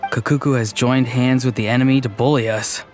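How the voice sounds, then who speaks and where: A man voices a playful young tease in a video game. His mid-pitched delivery is deadpan and mock-grand, mischief kept under a serious front, with soft music behind. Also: brisk, close to an everyday voice. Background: faint game background music.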